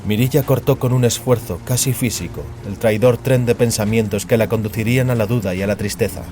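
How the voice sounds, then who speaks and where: Man, lowish voice, dramatic story narration, solemn, measured, faint music bed. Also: dark tone. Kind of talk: dramatic scripted fiction narration.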